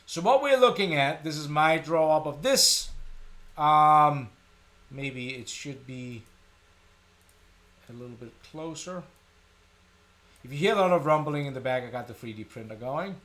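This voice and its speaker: Higher-voiced Danish man teaching live. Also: lively intonation, a little slow, pauses often, fairly bright tone. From Denmark. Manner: informal, self-deprecating. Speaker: tutorial live stream host. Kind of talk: casual live software tutorial, thinking aloud.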